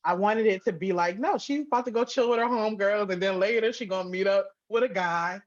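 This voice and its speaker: A woman talks as a guest in a casual interview, with a medium-pitched voice and an animated, informal delivery. She drops in "like" often as she goes. The recording is slightly dull and lo-fi. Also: fairly quick. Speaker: guest, audio drama writer and producer.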